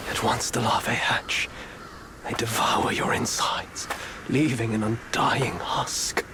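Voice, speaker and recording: Brief: a man doing a polite, treacherous Taoist disciple. Mid-pitched, slow, with whispery slyness, over ambient game sound. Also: steady tone, heavy background noise, lightly acted. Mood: solemn. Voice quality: soft-spoken, sly, whispery. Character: scheming male Taoist disciple, secretly monstrous, courteous then hungry. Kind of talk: video game cutscene acting.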